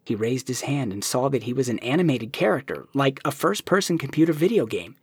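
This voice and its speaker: A man reading a novel aloud in an even storytelling manner, his voice husky and breathy. The sound is close and dry, with no room around it. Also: mid-range voice, brisk, no echo. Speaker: narrator. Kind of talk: audiobook narration.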